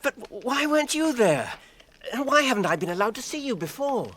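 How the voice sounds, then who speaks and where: A man acting an earnest, gentle young hobbit with a British accent and a hesitant, polite manner in a radio drama. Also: very high-pitched, very animated, fairly quick, full character voice. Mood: warm, eager.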